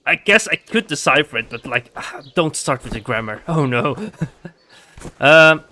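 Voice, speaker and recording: A man's voice: fairly high pitch, lively intonation, measured pace, bright, forceful tone. A man with a Dutch accent, chatting casually while streaming a game, giggly and hesitant with many ums. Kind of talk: casual gameplay commentary.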